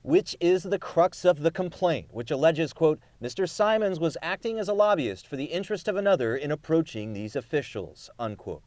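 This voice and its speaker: High-pitched, animated male news reporter. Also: moderate pace, hardly any pauses. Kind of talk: scripted news report narration.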